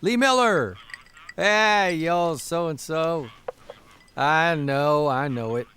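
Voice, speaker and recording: An actor playing a harried, wry 1930s newspaperman, with a folksy, blustery, halting manner in a period radio drama. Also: a little high, lively intonation, sharp tone, a little hiss or hum, exaggerated voice. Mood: excited, indignant.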